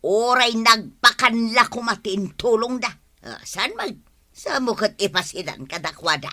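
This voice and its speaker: A woman's voice: a little high, expressive, a little slow, sharp tone. A woman with an Ilocano accent playing a stingy, grumbling village wife in a radio comedy drama, exclaiming and complaining. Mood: indignant.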